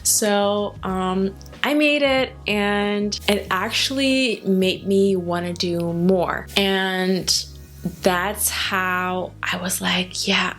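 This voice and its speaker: A woman in her late twenties, Dutch by first language, talks openly and earnestly in a relaxed vlog update. Her voice sits at an ordinary middle pitch. Faint music plays underneath. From Netherlands. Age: late 20s. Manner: candid, chatty, earnest. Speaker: hair care vlogger. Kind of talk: casual personal update to camera.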